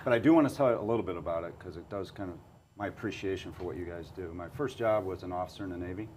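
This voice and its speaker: A middle-aged man hosting a podcast interview, affirming his guests with quick "right"s. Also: low voice, darkish tone, a little hiss or hum. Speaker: podcast host.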